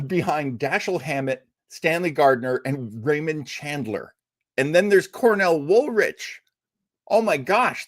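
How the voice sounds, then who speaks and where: A man's voice: mid-range voice, no echo, studio quality. A man hosting a show who chats casually and with enthusiasm as he introduces a radio drama, with many fillers and asides.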